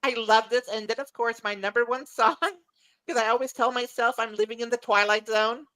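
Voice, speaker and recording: Hoarse middle-aged woman, bright and a bit high, eager panel chatter. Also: fairly even intonation, normal speed, poor audio. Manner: enthusiastic, repeats and restarts words. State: losing her voice, hoarse. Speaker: podcast panelist. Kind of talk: casual chat.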